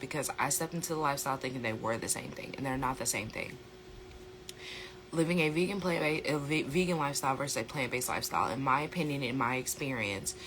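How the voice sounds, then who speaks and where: An American speaker with a slightly hoarse voice, chatting casually and emphatically as a host explaining things in an educational vlog, repeating phrases for stress. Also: mid-range voice, noisy background. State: slightly hoarse from a sore throat. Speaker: host, plant-based lifestyle coach.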